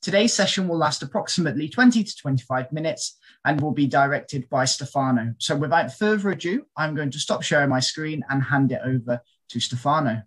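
A man opens a university online talk, welcoming viewers and explaining how to ask questions. His voice sits a little high and is somewhat husky. He keeps a brisk, announcing pace. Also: animated, a bit bright. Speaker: host of university lunchtime sessions. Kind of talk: scripted session introduction.

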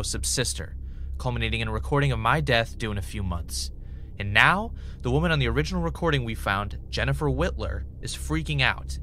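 A man's voice: medium pitch, sharp tone, a little hiss or hum. A man playing a driven, uneasy podcaster investigating mysterious tapes, earnest and conversational in an audio drama. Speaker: podcast host.

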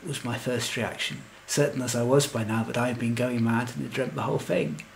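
Man playing a gravelly, wry, lovelorn storyteller. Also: medium pitch, steady tone, brisk, heavy background noise, close to an everyday voice. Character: lovelorn, anxious, wry man narrating his own misadventures. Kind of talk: scripted comic first-person narration and verse.

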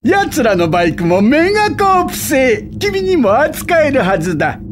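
A man's voice: very high-pitched, wildly swooping pitch, moderate pace, background noise, heavily acted. A man voicing a pompous company briefing official in a Japanese game dub, with formal, theatrical delivery.

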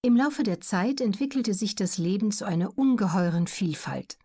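Female audiobook narrator, medium-pitched, measured scripted delivery for general-knowledge science material. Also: expressive, mellow, husky.

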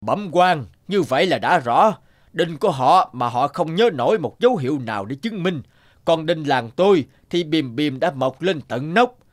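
Man playing a shrewd, righteous old village sage, lively-pitched. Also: normal speed, no room sound, studio quality, close to an everyday voice. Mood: composed, sly. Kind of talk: cartoon voice acting.

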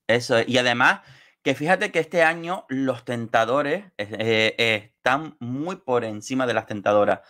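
A man's voice: mid-range voice, unhurried, pushed and bright. A man, giving wordy, gossipy reality-show commentary in casual talk on a video call from home. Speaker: online show co-host and commentator.

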